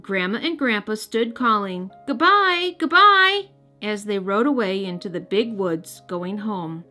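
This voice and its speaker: Casting: female storybook narrator, medium register, expressive delivery aimed at children, light music bed. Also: mid-range voice, measured pace. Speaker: host and storybook reader. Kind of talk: children's read-aloud narration.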